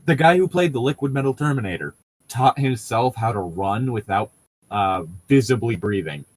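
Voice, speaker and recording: A man's voice: mid-range voice, expressive, moderate pace, low-quality recording. A man chatting casually as a co-host, explaining things in a rambling, nitpicky way. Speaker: podcast co-host reading and riffing on a novel. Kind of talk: casual banter and commentary.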